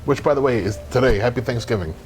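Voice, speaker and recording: A man's voice: medium pitch, quick pace, a little mellow, a little hiss or hum. A man co-hosting a wrestling talk show, bantering and arguing back playfully. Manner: playful, argues back and jokes.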